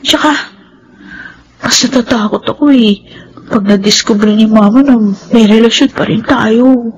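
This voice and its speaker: An actress playing a sweet, eager teenage schoolgirl in love, girlish and expressive, in a Filipino radio drama. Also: fairly high pitch, unhurried, lo-fi sound, a little hiss or hum, natural voice. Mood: tender, quiet.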